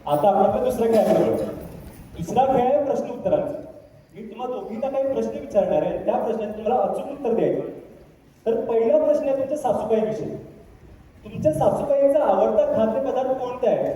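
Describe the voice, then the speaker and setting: Game show host played by a man for stage comedy: polite, high-voiced, far from the mic in a reverberant hall. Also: expressive, fast, dark tone, slight background noise. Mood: announcing. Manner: courteous, announcer-like. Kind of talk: stage play dialogue. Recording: echoey hall, distant microphone.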